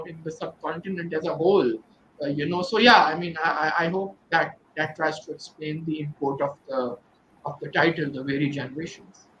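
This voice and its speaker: A man's voice: high-pitched, bright, forceful tone, echoey room, dull audio, a little hiss or hum. A man discussing his translation of a novel, rambling with constant "you know" fillers. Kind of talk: virtual panel discussion.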